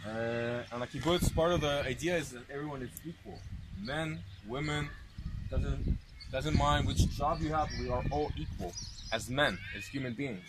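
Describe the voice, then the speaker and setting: A man's voice: medium pitch, moderate pace. A man working as a tour guide, explaining informally step by step with rhetorical questions, in English shaped by American and South African parents. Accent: English with Anglo influence from American and South African parents. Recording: clear outdoor ambient noise.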